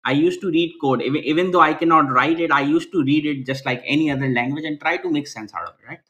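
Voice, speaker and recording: Bright-voiced man explaining earnestly. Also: a little high, quick pace, highs cut off. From Pakistan. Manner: earnest, restarts often, ends points with "right?". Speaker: guest. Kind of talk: casual interview answers.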